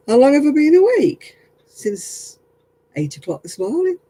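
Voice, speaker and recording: A man's voice: very high-pitched, wildly swooping pitch, unhurried, mellow. A man chatting casually with viewers while crocheting on a livestream, self-mocking and laughing often. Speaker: craft livestream host.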